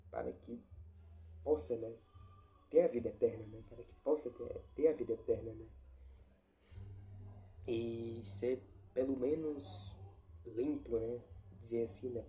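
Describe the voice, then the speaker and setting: Man, stuffy head cold, very dark mellow tone; casual, halting devotional talk; faint noise behind. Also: mid-range voice, fairly even intonation. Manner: halting, many restarts and tag questions. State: congested with a cold. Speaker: lay preacher sharing a Bible reflection.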